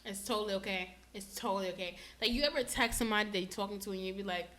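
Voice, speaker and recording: A woman in her twenties chatting candidly on a casual podcast, expressive and slangy, swearing freely and repeating phrases for emphasis. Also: mid-range voice, rapid-fire. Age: 20s.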